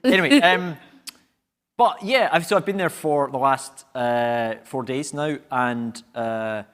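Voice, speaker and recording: A man's voice: mid-range voice, measured pace, a bit bright. A man on a panel, a climate scientist, explaining in a rambling, hesitant way. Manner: rambling, hesitant, lots of fillers. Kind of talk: casual panel chat.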